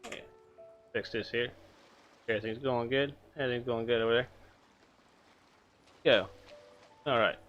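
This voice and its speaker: A laid-back man chats to his viewers as he plays through a game. His voice is bright and forward. Faint game music runs behind him. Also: medium pitch, steady tone, moderate pace, long pauses, highs cut off. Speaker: streamer. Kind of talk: casual gameplay streaming.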